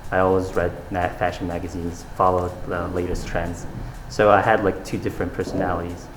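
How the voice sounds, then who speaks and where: A man's voice: deep voice, little pitch movement, a little fast, reverberant, noisy background. A young man from Saudi Arabia, answering interview questions in a casual, earnest way, with fillers and restarts. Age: young adult. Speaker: interview guest, fashion designer.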